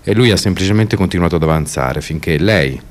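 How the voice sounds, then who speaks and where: A man presenting and narrating for radio theatre, reading aloud in a measured, sober and deliberate way. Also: deep voice, animated.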